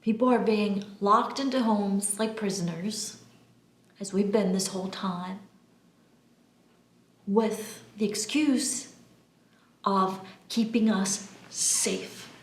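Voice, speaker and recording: A woman from Albania gives a spiritual monologue to her followers, speaking emphatically and deliberately, with pauses and repeated words. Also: medium pitch. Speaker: spiritual channeler and video host. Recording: roomy, echoing room sound.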